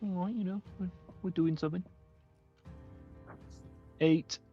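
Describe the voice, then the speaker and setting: A man's voice: high voice, steady tone, measured pace, faint music behind. A man talking casually and a little hesitantly as a player in a tabletop game, on a muffled voice channel. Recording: dull, lo-fi voice channel.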